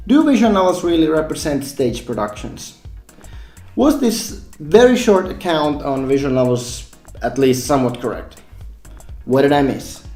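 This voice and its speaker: A man's voice: a little high, a little mellow, echoey room. A man hosting a scripted explainer vlog, addressing viewers informally as he explains things step by step. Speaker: game developer and vlog host.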